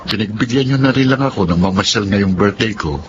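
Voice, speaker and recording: A man playing a smooth, generous friend who flatters the ladies, in a Filipino radio drama, with a Filipino accent. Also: lowish voice, brisk, lo-fi sound, noisy background, lightly acted. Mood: charming, coaxing. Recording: music playing behind the voice.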